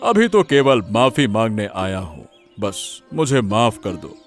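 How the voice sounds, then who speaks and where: A man voicing a repentant middle-aged village headman in a cartoon, speaking in a deliberate, formal way. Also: on the low side, very animated, faint music behind. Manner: deliberate, formal and addressing a crowd. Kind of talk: Hindi cartoon dubbing.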